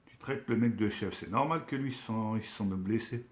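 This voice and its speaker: A man with a fairly low voice speaks in a casual, colloquial register, recorded in a noticeably echoey room. He is from Algeria and talks like an online commentator giving a frank telling-off, stressing his points emphatically. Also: a little fast. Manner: colloquial street French, emphatic, admonishing. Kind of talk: casual opinion rant to camera.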